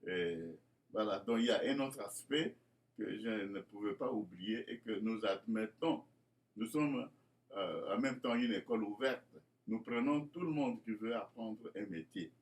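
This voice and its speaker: Hesitant low-voiced man, full of pauses. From Congo. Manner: many fillers and repeated words; formal, churchly address. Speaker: school founder addressing inspectors. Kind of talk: hesitant spoken presentation.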